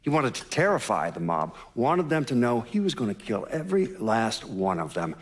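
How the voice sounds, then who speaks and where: A man playing a grave investigator giving documentary-style testimony in a video game, deliberate and matter-of-fact. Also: mid-range voice, very animated, lightly acted. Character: grave investigator recalling a mob war.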